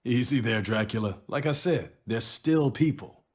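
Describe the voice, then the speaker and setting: An actor playing a laid-back, wry military man in a video game, with a husky voice and casual delivery. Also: mid-range voice, moderate pace, lightly acted. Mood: easygoing. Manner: casual, wisecracking. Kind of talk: video game cutscene acting.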